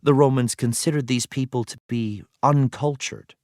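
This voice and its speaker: A man reading an educational text aloud as a teacher, in an explanatory way with clear phrasing for young learners. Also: medium pitch, fairly even intonation, normal speed, a little mellow, dry recording, clean studio sound. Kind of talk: read-aloud educational narration.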